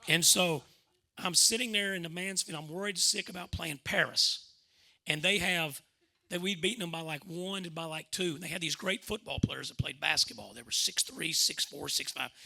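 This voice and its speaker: Middle-aged male storyteller, Arkansas Southern accent, very bright forceful voice with creaky edges, folksy and rambling. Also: on the high side. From Arkansas, United States. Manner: folksy, rambling, repeats himself. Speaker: former basketball coach and commentator telling stories at a club talk. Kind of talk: animated informal storytelling.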